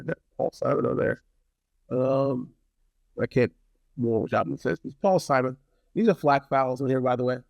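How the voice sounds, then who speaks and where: A man's voice: medium pitch, creaky, a little mellow, no echo. A man talking casually to viewers in a rambling, blunt product review, often repeating phrases for emphasis. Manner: opinionated, blunt.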